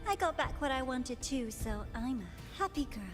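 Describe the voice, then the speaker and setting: A high, girlish villainess in a video game, theatrical and teasing, with menace under the playfulness. Her voice rings with long reverb over faint music. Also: lively intonation, moderate pace. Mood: gloating, playful. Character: young woman villain posing as a student, playful and menacing.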